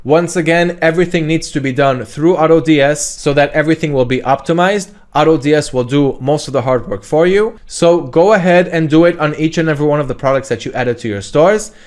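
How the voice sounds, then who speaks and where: A man's voice: a little high, brisk, few pauses. Upbeat man teaching an online course. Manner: upbeat, direct, says okay often. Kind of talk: screen-recorded tutorial.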